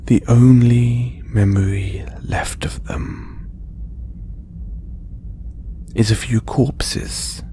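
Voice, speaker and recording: A man acting a slow, whispering, condescending alien collector. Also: mid-range voice, fairly even intonation, dark tone. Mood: smug, menacing. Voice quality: breathy, half-whispered. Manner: condescending, deliberate with long pauses. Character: condescending, possessive alien trophy hunter and collector, male. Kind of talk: slow audio roleplay monologue to listener.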